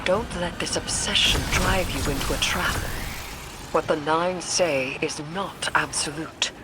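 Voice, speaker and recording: An actress playing a solemn, cryptic and stern mystic in a video game cutscene, formal and portentous, often breathy. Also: female voice, low-pitched, a little slow, over loud music.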